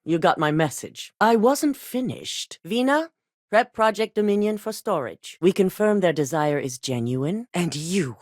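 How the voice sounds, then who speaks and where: A ruthless corporate executive for a video game, cool and controlled. Her voice sits low yet moves with lively rises and falls, delivered crisply in a dry studio sound. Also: no echo. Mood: stern. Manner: measured, clipped and coldly authoritative. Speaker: company boss giving missions.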